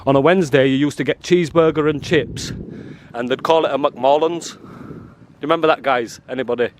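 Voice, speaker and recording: A man filming outside a prison, talking loudly and bluntly to the officers and to his viewers. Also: fairly high pitch, a little fast, slight background noise. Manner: loud, blunt and challenging, calls people "love" and "mate". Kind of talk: confrontational street filming with commentary to viewers.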